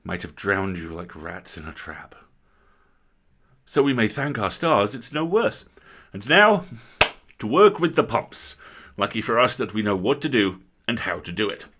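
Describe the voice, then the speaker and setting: Man acting a loud, hearty gentleman mine owner. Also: on the low side, big pitch swings, measured pace, full character voice. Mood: hearty, commanding. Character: brisk, good-humoured gentleman mine owner. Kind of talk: literary storytelling.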